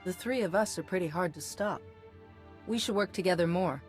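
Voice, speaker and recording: An actress playing a composed, resolute Jedi heroine in a video game, calm and direct. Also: female voice, on the low side, normal speed, soft background music, close to an everyday voice. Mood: friendly. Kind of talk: scripted video game acting.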